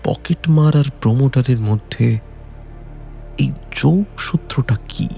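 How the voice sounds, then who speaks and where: A man giving a dramatic, suspenseful scripted narration of a detective story in a measured way. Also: mid-range voice, gravelly, dark tone, faint music behind.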